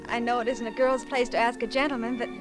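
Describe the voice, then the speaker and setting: An actress plays a polite young small-town woman in golden-age radio drama. Her voice is high, nervous and sweet, catching on words as she goes, over soft background music. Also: fairly even intonation, fast, natural voice. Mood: gently inviting. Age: young adult. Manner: breathlessly hesitant, courteous. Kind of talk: 1940s-50s radio drama acting.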